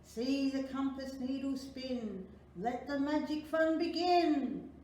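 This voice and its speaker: A woman's voice: high voice, slow, darkish tone, far from the mic, voice pushed up. A woman voicing an adventurous, gallant grandfather in a children's storybook, with a commanding, exclamatory manner. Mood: magical, chanting. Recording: very echoey room, faint noise.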